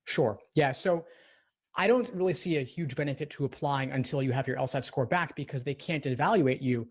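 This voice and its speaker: A man coaching law school applicants, giving calm, direct advice in a consultation call. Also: mid-range voice, a little fast. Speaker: admissions coach.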